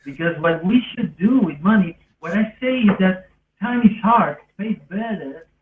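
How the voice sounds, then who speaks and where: Man, Argentinian accent, higher-pitched voice, rambling earnest storyteller, non-native phrasing, roomy echo. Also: animated, moderate pace, background noise. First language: Spanish. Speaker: podcast speaker. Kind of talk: casual autobiographical storytelling. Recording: roomy, distant, phone-like thin sound.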